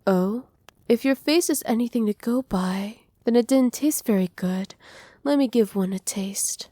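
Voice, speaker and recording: Woman playing a doting, possessive witch, mellow and close. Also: fairly high pitch, measured pace, no room sound. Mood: soft, coaxing. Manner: soft, teasing, condescendingly affectionate. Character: doting, possessive witch mistress pampering her captive pet. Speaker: voice actor. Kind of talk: ASMR roleplay.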